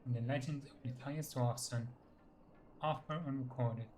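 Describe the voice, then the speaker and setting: A man's voice: medium pitch, steady tone, normal speed, darkish tone, a little hiss or hum. A man reading fantasy game lore and dialogue aloud as scripted narration. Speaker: game player narrating codex entries and dialogue choices.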